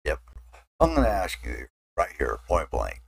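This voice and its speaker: Very deep gravelly man chiming in. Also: wildly swooping pitch, moderate pace, crowd noise. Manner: agreeable, chiming in with short replies. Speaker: podcast co-host. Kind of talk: casual chat.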